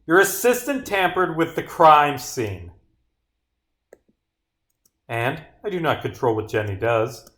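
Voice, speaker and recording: A man's voice: on the high side, lively intonation, slow pace, long pauses, a bit bright, roomy sound. A man voicing a haughty, defiant woman murder suspect in a visual novel, indignant and dismissive.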